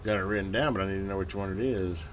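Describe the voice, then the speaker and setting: A man giving casual hands-on commentary as a hobbyist host, halting and muttering to himself as he works. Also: deep voice, steady tone, a little hiss or hum. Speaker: vintage radio hobbyist hosting a repair video.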